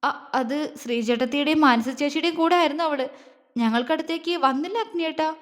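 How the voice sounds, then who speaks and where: A woman's voice: high-pitched, brisk, close to an everyday voice. A woman voicing a frightened, tearful young woman who stammers and pleads in an audio story. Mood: fearful, sobbing. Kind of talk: dramatic audio-story dialogue. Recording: long hall-like reverb.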